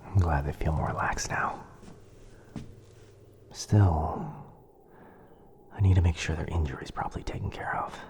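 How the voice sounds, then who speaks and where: A man plays a kind, smitten wizard in a boyfriend ASMR roleplay. His voice is deep and dark, mostly dropped into a hushed whisper. He speaks softly and soothingly, as if looking after someone. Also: measured pace, pauses often. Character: kind, caring, smitten young male wizard.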